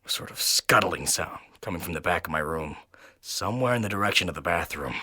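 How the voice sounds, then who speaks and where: Male narrator for first-person horror; theatrical, tense, sharp delivery with shifting intensity, very dry sound. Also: low voice, animated, brisk, breathy edge, dry recording. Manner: intense, theatrical, swinging from hushed to loud. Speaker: narrator and reader of a horror story.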